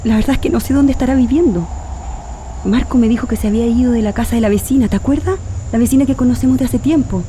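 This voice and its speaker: High-voiced, anxious Chilean mother played by an actress. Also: fast, dark tone, slight background noise, close to an everyday voice. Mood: preoccupied. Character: anxious, grieving Chilean mother lost in memories. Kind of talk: radio drama acting.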